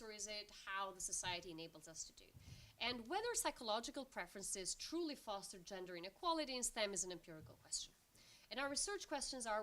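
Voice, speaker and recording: A woman from Albania speaks as a researcher at an academic conference. Her voice sits fairly high and has a very bright, forward edge. She lays out her argument in an explanatory way, checking in with listeners as she goes.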